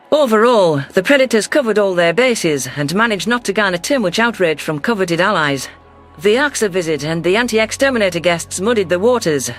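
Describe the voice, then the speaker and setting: A man's voice: high voice, fairly bright tone. A man reading a scripted first-person science fiction story as its narrator.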